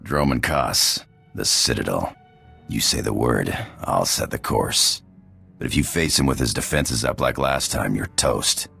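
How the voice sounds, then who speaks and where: An actor voicing a gruff, blunt monster companion in a video game, with a gravelly, husky growl and terse delivery. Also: male voice, very deep voice, unhurried, pushed and bright, faint music behind, full character voice. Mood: gruff, warning.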